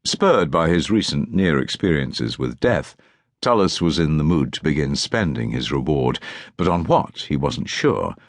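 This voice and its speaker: A man's voice: a bass voice, very animated, unhurried, dry recording, studio quality. A man reading historical fiction as a scripted audiobook narration.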